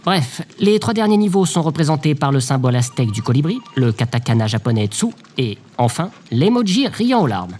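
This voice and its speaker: A man playing a glum, officious government bureaucrat in a comic audio drama, deadpan and pedantic. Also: on the high side, a little fast, hardly any pauses, soft background music. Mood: irritated, officious. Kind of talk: audio drama comedy acting. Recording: faint background noise.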